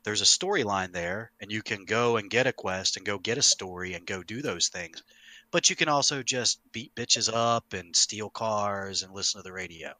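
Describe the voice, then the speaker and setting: A middle-aged man talks as a podcast host with a low, very forceful, bright voice. He explains things emphatically and casually, recorded close and dry. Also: normal speed, dry recording, rough recording. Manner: emphatic, sweary, animated explainer. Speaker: podcast host, longtime game master.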